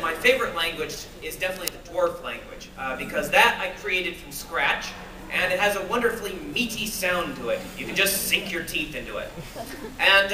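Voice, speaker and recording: A jet-lagged man speaking to a live audience, playful and self-mocking as he talks and reads aloud. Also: on the high side. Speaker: author reading at a fan event. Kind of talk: live talk and reading to an audience. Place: convention hall with an audience. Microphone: phone or camera recording from the audience. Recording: echoey hall, voice from far off, noisy.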